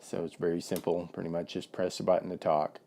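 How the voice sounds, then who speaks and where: Low-voiced man demoing a product. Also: brisk, gravelly, slight background noise. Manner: relaxed and plain-spoken, many "uh" fillers and restarts. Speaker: product demonstrator for an intercom seller. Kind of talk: casual product demonstration.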